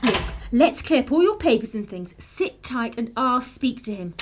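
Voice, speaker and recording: A woman's voice: on the high side, sing-song, normal speed, background noise. An actress playing a bold, teasing upper-class young Englishwoman in a radio play, poised and arch. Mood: brisk, composed.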